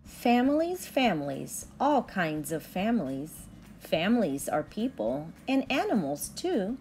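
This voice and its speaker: A young woman reads aloud to children like a teacher. Her delivery is slow and carefully enunciated, with lively swings in pitch. Soft music plays behind her.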